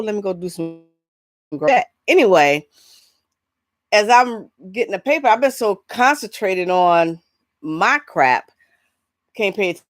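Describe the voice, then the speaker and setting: A woman with a lowish voice talks casually as a vlog host. She gives blunt opinions in a rambling, stop-and-start way, often breaking off into asides. The sound is dry and close, with very little room to it. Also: dry recording. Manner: halting, rambling, interrupts herself with asides; blunt, incredulous rhetorical questions. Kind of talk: casual opinionated entertainment news commentary.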